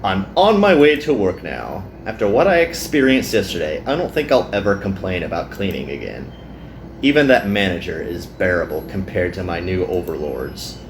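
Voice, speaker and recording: A man's voice: low-pitched, big pitch swings, normal speed, roomy sound, a little hiss or hum, pitched below the performer's own voice. A man reading the part of a weary, grumbling young male hero in an anime game.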